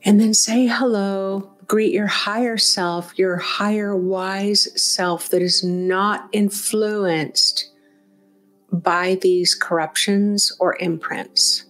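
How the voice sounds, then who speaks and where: A woman leading a guided meditation as a teacher, speaking off the cuff in a gentle, encouraging, conversational way. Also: medium pitch, steady tone, slow, soft background music.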